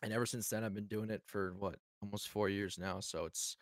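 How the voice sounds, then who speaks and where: A man's voice: on the low side, steady tone, a little fast, no echo, studio quality. A man who streams, chatting easily as a guest on a podcast. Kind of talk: casual interview chat.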